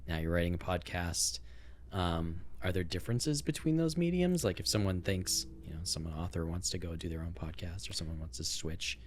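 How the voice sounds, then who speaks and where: A man's voice: low voice, lively intonation, normal speed, slight background noise. A man co-hosting a podcast in a casual, playful style. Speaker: podcast co-host.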